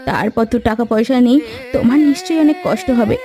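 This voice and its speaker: Woman acting a high-voiced gentle young wife. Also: a little fast, lightly acted. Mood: gentle concern. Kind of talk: Bengali fairy-tale cartoon dubbing.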